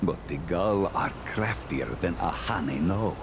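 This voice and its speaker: A slow, gravelly, deep jungle sage. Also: male voice, noisy background. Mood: solemn, lamenting. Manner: formal, archaic phrasing, slow storytelling. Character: male Pan trader from a jungle world, solemn and wise. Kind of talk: video game character monologue.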